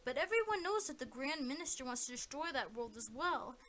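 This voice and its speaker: A woman's voice: sits high, very animated, brisk, fairly bright tone. A woman voicing an incredulous male underling in an audio play, questioning and arguing emphatically. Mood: incredulous, alarmed.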